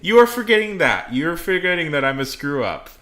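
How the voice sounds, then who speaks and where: A man in his thirties chatting casually on a livestream in a rambling, excitable, self-deprecating way. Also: a little high, brisk, echoey room. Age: 30s. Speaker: livestream host.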